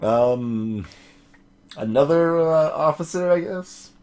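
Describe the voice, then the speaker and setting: A man's voice: on the high side, lively intonation, slow pace, a little mellow, clear, resonant tone. A man doing let's play commentary, reading a game aloud and talking back to it and to his chat.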